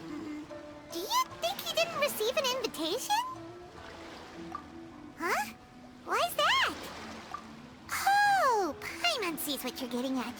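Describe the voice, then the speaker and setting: Tiny squeaky, excitable fairy companion. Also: female voice, takes it slowly, long pauses, full character voice. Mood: curious, chirpy. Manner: speaks of self in third person, exclamatory. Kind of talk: anime video game voice acting. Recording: music playing behind the voice.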